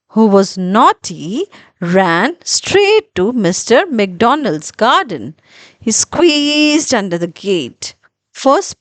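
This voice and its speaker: A woman narrating a children's story in an expressive, deliberate storytelling manner with dramatic pauses. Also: a little high, dry recording.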